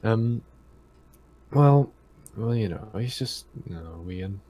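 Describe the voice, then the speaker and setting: A man playing a tabletop game, chatting casually in a halting, hesitant way that often trails off. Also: on the low side, expressive, dark tone, rough recording.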